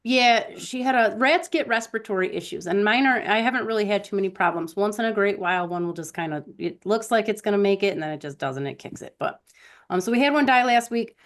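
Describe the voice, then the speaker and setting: A woman's voice: mid-range voice, lively intonation, quick pace. A woman chatting casually as a co-host, telling rambling anecdotes and acting out bits of quoted conversation. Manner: rambling storyteller who acts out quoted conversations. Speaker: podcast co-host.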